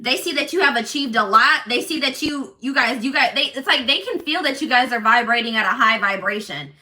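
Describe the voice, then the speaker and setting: A woman doing a casual tarot reading for her viewers, talking in an assertive, loud way with lots of restarts and "like". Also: on the high side, brisk. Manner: animated, many restarts and fillers. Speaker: tarot reader.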